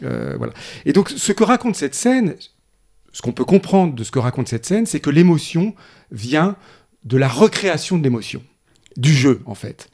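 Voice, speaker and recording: A man's voice: a little high, sing-song, moderate pace, creaky, a little mellow. A man, a film critic in a podcast discussion, reasoning aloud in an analytical way with frequent restarts.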